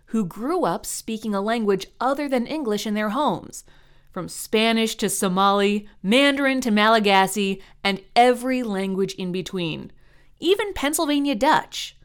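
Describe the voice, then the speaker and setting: A woman hosting an education podcast, reading a clear, measured introduction and then interviewing guests. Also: fairly high pitch. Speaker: podcast host.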